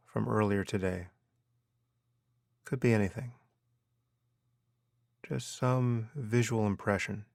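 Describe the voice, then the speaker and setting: A man guiding a meditation as a teacher, giving measured instructions with unhurried pauses. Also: on the low side, gravelly, no echo, studio quality. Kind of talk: guided meditation narration.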